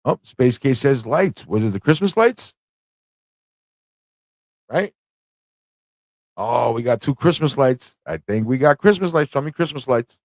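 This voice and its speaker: A man's voice: medium pitch, animated, measured pace, pauses often, a little mellow, dry recording, clean studio sound. A man from the United States hosting a live trivia quiz, chatting casually with viewers and repeating questions as he reads them out. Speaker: livestream host running a trivia quiz.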